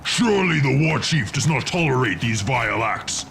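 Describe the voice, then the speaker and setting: A man's voice: mid-range voice, big pitch swings, moderate pace, gravelly, a bit bright, slight background noise. A man voicing a principled, indignant orc underling in a fantasy game, formal and reproachful. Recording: music under the voice.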